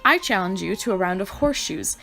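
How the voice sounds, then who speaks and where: A woman reading the lines of a gentle, earnest young male prince in a story game, softly and sincerely, her voice a little hoarse from a sore throat. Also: medium pitch, lively intonation, brisk, faint music behind, low-quality recording.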